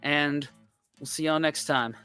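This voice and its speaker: A man's voice: on the high side, fairly even intonation, unhurried, over music. A man hosting a reaction video, talking casually and rambling with lots of fillers. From United States. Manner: rambling, filler-heavy, laid-back. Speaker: reaction channel host.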